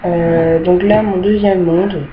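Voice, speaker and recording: A woman's voice: lowish voice, steady tone, takes it slowly. A young woman casually showing her rooms in an online game, with many hesitations and easily annoyed by glitches. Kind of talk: casual screen-recorded game tour. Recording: dull lo-fi home mic with faint hiss.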